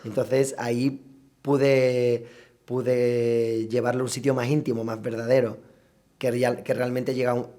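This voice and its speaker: A man, a stage actor, talking reflectively in an interview, thoughtful and halting as he repeats and corrects his words. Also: medium pitch, flat delivery, mellow. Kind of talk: reflective interview talk about stage work.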